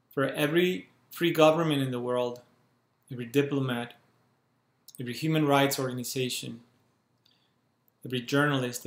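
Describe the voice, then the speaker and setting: A man in his thirties from Cuba, speaking to a webcam at home and making an urgent, earnest appeal in measured phrases. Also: mid-range voice, vocal fry. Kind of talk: earnest direct-to-camera appeal. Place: small room at home, indoors. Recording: roomy echo from a bare room.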